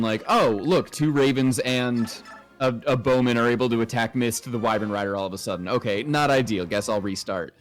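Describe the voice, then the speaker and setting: Casual male co-host on a game stream. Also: medium pitch. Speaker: livestream co-host.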